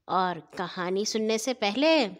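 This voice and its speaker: A woman storyteller narrating scripted stories for children in a deliberate, phrase-by-phrase way. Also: mid-range voice, animated.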